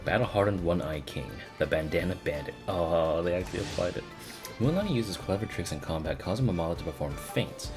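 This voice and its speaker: A man's voice: sits low, expressive, fairly quick. A man doing casual live commentary on a game, offhand, thinking aloud and reading on-screen text. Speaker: game streamer. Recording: game music behind the voice. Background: video game music.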